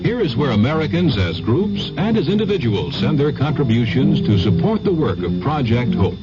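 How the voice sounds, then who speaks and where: A man reading a scripted 1970s radio charity appeal as an announcer, earnest and deliberate. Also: medium pitch. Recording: dull radio recording, loud guitar music behind.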